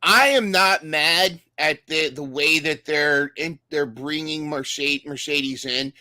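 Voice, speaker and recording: A man's voice: a little high, takes it slowly, bright, forceful tone, no echo, studio quality. A man co-hosting a casual recap podcast, talking enthusiastically and often restarting his sentences. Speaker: podcast co-host.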